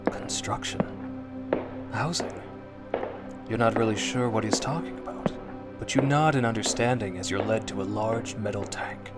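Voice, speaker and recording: A man narrating a scripted story in the second person, in an expressive, suspenseful old-time radio drama style. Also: lowish voice, normal speed. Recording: narration over prominent background music.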